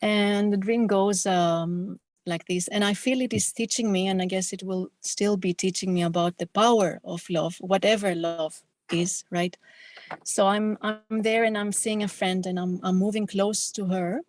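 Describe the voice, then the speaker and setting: Woman slowly sharing on a video call. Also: mid-range voice, steady tone, no echo. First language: Greek. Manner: slow, halting, reflective storytelling. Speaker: satsang participant.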